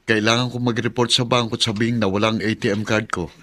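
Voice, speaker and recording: A Filipino actor playing an earnest, caring adult son in a radio drama. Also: male voice, on the low side, little pitch movement, fast, pushed and bright. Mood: worried.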